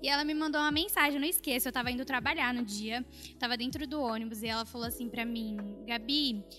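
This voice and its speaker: Earnest young woman, higher voice. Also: fast talker, music in the background. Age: 20s. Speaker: guest. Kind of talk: personal testimony.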